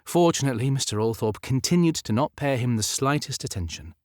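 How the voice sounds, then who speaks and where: A man narrating a historical romance novel as an audiobook, in measured, dramatic storytelling. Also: medium pitch, expressive, a little mellow, no echo, clean studio sound.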